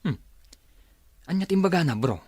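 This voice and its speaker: A man's voice: on the high side, animated, brisk, a little mellow, slightly rough, dry recording. A man acting a concerned, incredulous best friend in a drama, questioning and urging with blunt advice. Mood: curious, concerned.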